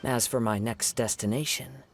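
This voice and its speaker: A man voicing a calm, thoughtful young wandering samurai in a video game, soft-spoken, measured and formal. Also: medium pitch, very noisy, natural voice. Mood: calm, polite. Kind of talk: scripted video game voice acting. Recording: clear music behind the voice.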